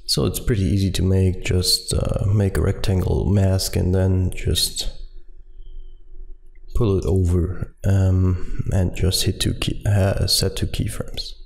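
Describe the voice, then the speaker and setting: A man with a German accent, a German native speaker, explaining a software tutorial step by step with many ums and uhs. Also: low-pitched, little pitch movement, takes it slowly, gravelly. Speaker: tutorial host.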